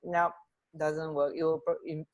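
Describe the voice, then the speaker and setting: A woman's voice: low-pitched, little pitch movement, a little slow, over a noisy crowd. A middle-aged woman co-hosting a radio show in casual, giggly chat over a video call from home. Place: at home, indoor room. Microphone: wired earphones on a video call.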